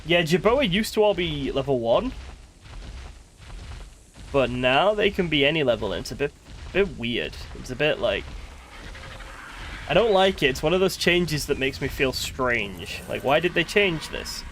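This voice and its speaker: Lively, expressive man rambling over a game. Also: on the high side, unhurried, pauses often, a little hiss or hum. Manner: rambling, chatty, thinking aloud. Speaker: game streamer. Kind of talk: casual live gameplay commentary.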